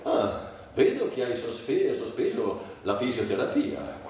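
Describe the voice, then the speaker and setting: Guy doing pushy condescending doctor on stage, lively pitch, really echoey and far from mic. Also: takes it slowly. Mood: insistent. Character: brisk, probing, weary male hospital doctor. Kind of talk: theatrical dialogue played solo. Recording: very echoey, distant, faintly noisy stage recording.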